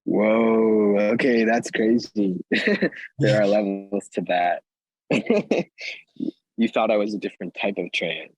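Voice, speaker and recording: A man hosting a casual interview podcast in a relaxed, laid-back way, laughing easily. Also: lowish voice, slow pace, long pauses, slightly rough, no echo, low-quality recording. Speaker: host.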